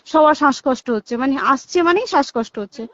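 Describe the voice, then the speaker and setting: A woman's voice: sits high, animated, fairly quick, fairly bright tone, no room sound. A young woman with a West Bengal accent talking casually on a recorded phone call, explaining things emphatically and checking that the listener follows. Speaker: hospital staff member. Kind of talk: casual phone conversation recounting events.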